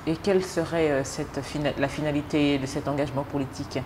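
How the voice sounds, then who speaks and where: Lady hosting a tv interview, really low voice, noise behind her. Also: steady tone, brisk. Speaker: TV show host. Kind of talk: interviewing and presenting. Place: on location at guest's premises. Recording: clear background noise.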